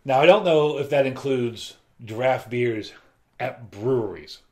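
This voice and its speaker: A man in his thirties co-hosting a beer review, explaining things in a measured, casual way with dry humor. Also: mid-range voice, darkish tone. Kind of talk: casual chat. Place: small room lined with beer shelves.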